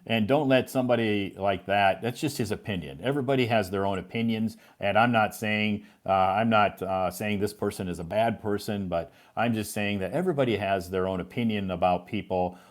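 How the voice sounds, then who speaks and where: A man's voice: lowish voice, a little fast, few pauses. A man hosting a live English chat as a teacher, reassuring and encouraging. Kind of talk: casual chat.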